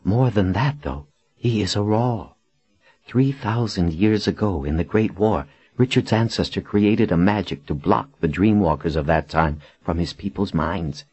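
A man's voice: sits low, measured pace, darkish tone, breathy edge, dry recording. A man voicing a resolute, reassuring woman leader in an audiobook, speaking firmly and earnestly. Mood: earnest explaining.

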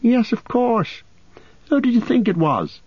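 A man's voice: very high-pitched, very animated, unhurried, slightly rough, dry recording, voice pushed up, full character voice. A man voicing a breezy, teasing Merry. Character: cheerful, teasing young hobbit gentleman. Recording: dull lo-fi audiobook recording.

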